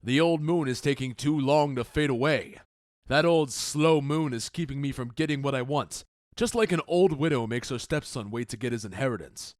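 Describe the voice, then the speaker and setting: A man playing a commanding, measured duke in an audio drama, speaking with stately, deliberate authority. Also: medium pitch, dry recording, clean studio sound, close to an everyday voice. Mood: impatient. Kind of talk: modernized Shakespeare audio drama acting.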